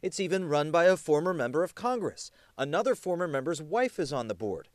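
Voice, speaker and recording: News reporter, male: emphatic, dramatic pauses, swooping pitch, dry close recording. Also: very animated, no echo. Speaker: television news reporter and anchor. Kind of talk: scripted TV news narration.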